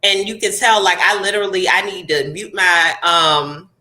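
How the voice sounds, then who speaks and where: A young woman hosts a casual live chat, her voice bright and clear. She sounds congested and talks in a chatty, animated way, laughing easily. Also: fairly low pitch, normal speed. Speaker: host.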